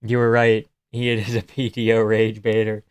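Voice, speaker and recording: A man's voice: on the low side, completely flat delivery, moderate pace, smooth, dry recording. A young man from Canada hosting a live stream, chatting casually and sarcastically as he reads out viewer comments and swears freely.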